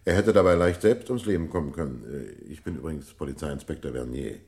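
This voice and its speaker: A man playing a courteous, measured police inspector in a radio crime drama, speaking formally and politely. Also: very deep voice, darkish tone.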